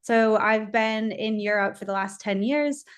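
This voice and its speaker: An American woman in her thirties talks through her career in an easy, upbeat way. She fills her sentences with ums and a few small laughs, at an ordinary middle pitch. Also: a little slow, clear, resonant tone. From United States. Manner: chatty, upbeat, many ums. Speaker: guest speaker. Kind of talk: casual webinar conversation.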